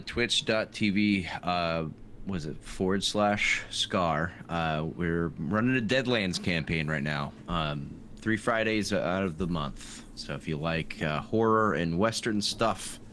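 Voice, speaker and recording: A man's voice: low-pitched, lively intonation, takes it slowly, fairly bright tone. A man chatting casually and wryly as a player on a tabletop game show. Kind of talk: casual chat. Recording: faint background noise.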